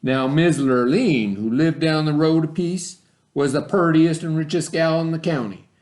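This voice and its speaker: Male teacher, middle-aged, slightly high voice, folksy read-aloud to kids. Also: normal speed, a little mellow. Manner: folksy storybook reading, high voices for characters. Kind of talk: reading a picture book aloud to a class.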